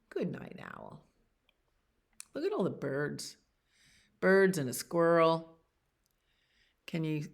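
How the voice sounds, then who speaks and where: Slow, low-voiced woman reading bedtime stories, very expressive. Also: vocal fry. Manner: expressive reading with acted animal sounds and pauses to comment on pictures. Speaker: children's storytime reader at a library. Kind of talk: read-aloud bedtime stories with casual asides.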